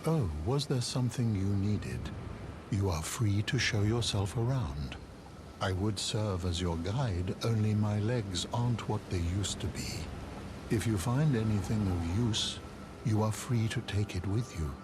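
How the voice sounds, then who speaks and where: Slow, low-voiced aged turtle cleric played by a man. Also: noisy background, natural voice. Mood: gentle, helpful. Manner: courteous, measured, scholarly, gently formal. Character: aged, gentle, courteous male turtle cleric, wise steward. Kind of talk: theatrical fantasy game acting. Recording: clear music under the voice.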